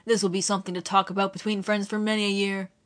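A woman voicing an easygoing working-class man, a travelling photographer, speaking low and quietly. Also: little pitch movement, fast, natural voice. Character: adult male stranger, a plain-spoken travelling photographer.

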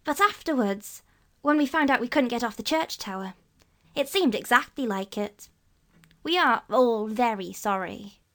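A woman's voice: fairly high pitch, animated, measured pace, voice pushed up. A woman voicing an earnest young boy who has been caught out and tries to be brave, in a storybook reading. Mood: sheepish. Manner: pleading, earnest.

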